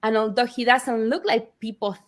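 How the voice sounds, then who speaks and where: A woman's voice: a little high, steady tone, normal speed. Middle-aged woman. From Argentina. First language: Spanish. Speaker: picture book author, guest. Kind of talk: warm personal storytelling in interview.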